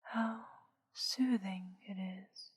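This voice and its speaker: A woman playing a soothing, seductive witch hypnotist in a soft, breathy whisper, in an ASMR roleplay. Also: mid-range voice, heavily acted. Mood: soothing, coaxing. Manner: slow, soothing, drawn-out delivery.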